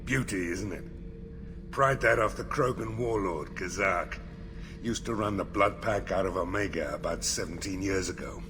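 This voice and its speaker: A man playing a ruthless, boastful older mercenary in a video game, with a gravelly voice. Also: low voice, moderate pace, natural voice. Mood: gruff pride. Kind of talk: video game dialogue acting. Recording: clearly noisy ambient background.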